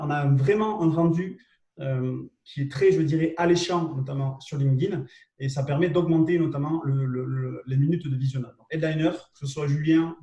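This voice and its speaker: A man's voice: fairly high pitch, warm tone. Southwest French man, roomy distant sound. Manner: hesitant and repetitive, checks in with listeners. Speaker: co-host presenting marketing tools. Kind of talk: live webinar explanation.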